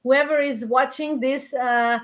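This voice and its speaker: A woman's voice: high voice, fairly even intonation, a little slow. A woman from Hungary with a Hungarian accent, hosting a chat and encouraging viewers directly. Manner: encouraging, speaks straight to viewers. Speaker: host. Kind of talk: casual interview chat.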